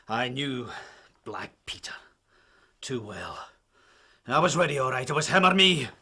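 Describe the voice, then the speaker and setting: A fierce, giant harpooner, husky bright tone. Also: male voice, mid-range voice, sing-song, slow pace, long pauses, exaggerated voice. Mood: defiant. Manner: blunt, gruff. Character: huge gruff male harpooner, fierce and blunt.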